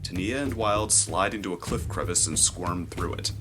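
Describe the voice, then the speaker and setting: A man narrating a scripted adventure story in a measured, descriptive, dramatic storytelling style. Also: deep voice. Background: music.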